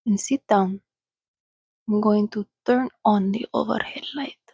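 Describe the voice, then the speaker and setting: Woman softly voicing a hushed, breathy, courtly vampire man. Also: medium pitch, fairly even intonation, a little slow, dry recording, studio quality. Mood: abrupt, commanding. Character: composed, courteous, commanding male vampire. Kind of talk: soft ASMR storybook reading.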